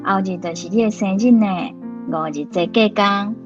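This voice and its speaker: An actress playing an affectionate, caring grown daughter and aunt in a radio drama, with a Taiwanese Hokkien accent. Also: female voice, medium pitch, normal speed, lo-fi sound, faint music behind, natural voice. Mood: tender, earnest.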